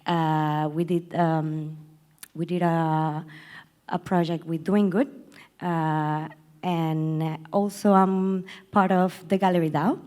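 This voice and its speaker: Slow, halting low-voiced woman. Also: little pitch movement, dark tone, clean tone. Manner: halting, many fillers. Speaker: panelist. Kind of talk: conference panel introduction. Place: conference hall.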